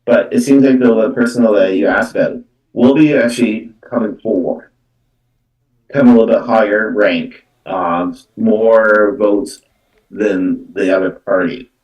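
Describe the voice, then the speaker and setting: A man hosting live card readings as a psychic medium, talking off the cuff in a halting, repetitive way with many filler words like "though" and "actually". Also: on the low side, fairly even intonation, muffled recording. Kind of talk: unscripted live-stream talk. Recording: roomy echo, distant from the mic.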